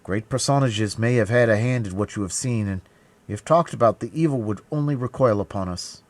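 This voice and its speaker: A man's voice: lowish voice, a little fast, voice pushed up, natural voice. A man voicing an impassioned young swordsman hero, earnest and commanding, with emphatic, formal delivery in an audiobook adventure. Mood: grave, commanding.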